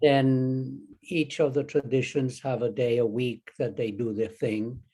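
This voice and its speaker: Older Chilean man, halting and rambling. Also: mid-range voice, little pitch movement, warm tone, husky, poor audio. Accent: Chilean Spanish. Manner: rambling, halting, many restarts and fillers. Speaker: Zen teacher, guest. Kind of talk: casual interview conversation.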